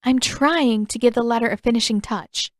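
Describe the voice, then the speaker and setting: A woman voicing a confident, teasing teenage girl in an audiobook-style story, with a brisk, playfully sarcastic manner. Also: fairly high pitch, dry recording, clean studio sound, voice pushed up, close to an everyday voice. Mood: eager, confident.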